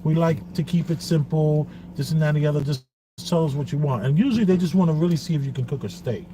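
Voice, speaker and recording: A man co-hosting a loose podcast, his voice sitting on the higher side, lively as he acts out quotes. A constant hum of background noise sits under the recording. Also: fast talker. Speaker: podcast co-host. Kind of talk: casual podcast chat. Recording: noisy background.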